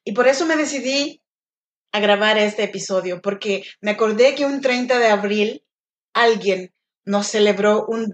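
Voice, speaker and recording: A woman with a Mexican accent hosts her own podcast, speaking straight to the listener. Her voice is mid-pitched and her tone gentle and encouraging, recorded on a home podcast mic. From Oaxaca, Mexico. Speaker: podcast host. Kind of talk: solo podcast monologue.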